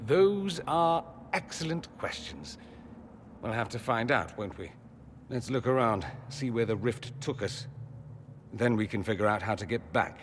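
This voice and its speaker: A man's voice: medium pitch, expressive, measured pace, big-room sound, a little hiss or hum, natural voice. An actor playing a witty, flamboyant male mage in a video game, with a wry, theatrical and articulate delivery. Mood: thoughtful, wry. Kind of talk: video game character acting.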